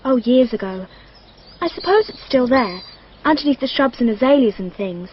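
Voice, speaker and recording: A woman as high-voiced, candid young girl. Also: animated, normal speed, close to an everyday voice. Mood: dreamy, confiding. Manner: matter-of-fact, precise, childlike. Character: dreamy, candid, self-possessed twelve-year-old girl. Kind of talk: radio drama acting. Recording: dull lo-fi radio drama, noisy.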